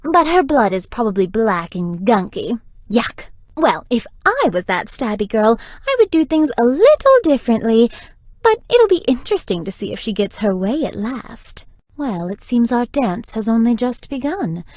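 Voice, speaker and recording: A woman's voice: fairly high pitch, sing-song, moderate pace, darkish tone, no room sound. A woman voicing a flirtatious, playful, theatrical vampire in a video game, teasing and melodramatic. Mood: gleeful, scheming, then seductive, theatrical. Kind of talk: scripted video game character dialogue.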